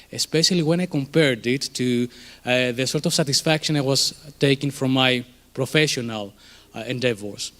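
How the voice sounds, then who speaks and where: A man's voice: fairly high pitch, fairly bright tone. Greek-accented man giving a conference talk. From Greece. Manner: conversational, many fillers and restarts. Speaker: conference speaker, startup co-founder. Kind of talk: conference presentation. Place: conference room.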